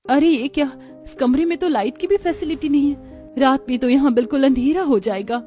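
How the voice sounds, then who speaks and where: A woman voicing a gentle, patient young wife and mother in a cartoon, who talks softly and pleads. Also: sits high, brisk, faint music behind, lightly acted. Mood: sad. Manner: soft, pleading. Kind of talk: Hindi cartoon voice acting.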